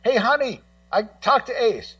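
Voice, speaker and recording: A man in casual radio conversation, rambling and halting as he repeats words and acts out what other people say. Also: very high-pitched, very animated, fairly bright tone, no room sound. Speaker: guest co-host and music documentary maker. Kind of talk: casual radio talk, telling anecdotes. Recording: muffled, slightly distant voice feed.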